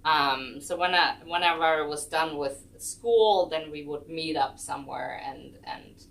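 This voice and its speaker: A woman with a notably low voice chats easily about her life, wandering through her stories with plenty of ums and restarts. She sits some way off the microphone, so her voice carries a distant, roomy quality. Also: a little slow, vocal fry, fairly bright tone. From Germany. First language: German. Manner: chatty, rambling, many ums and restarts. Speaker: guest, chair yoga instructor. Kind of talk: casual storytelling interview. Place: senior center room.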